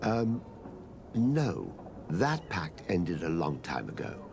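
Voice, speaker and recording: An actor playing a pompous, calculating official in a video game, speaking in a formal, dismissive manner. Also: male voice, low-pitched, huge pitch swings, slow pace, gravelly, background noise, heavily acted. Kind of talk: video game dialogue acting.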